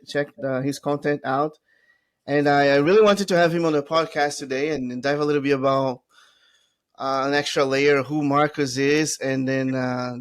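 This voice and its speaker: A man with a Portuguese accent hosts an interview in a chatty, enthusiastic way. His voice sits a little high for a man. He talks loosely and fills pauses with small fillers. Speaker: podcast host. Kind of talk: casual interview chat.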